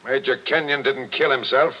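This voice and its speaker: An actor playing a shrewd, blunt, worldly police captain with a folksy, skeptical way of talking, in 1940s radio drama style. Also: male voice, mid-range voice, fairly even intonation, normal speed, lightly acted. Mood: firm. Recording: muffled vintage 1940s radio recording.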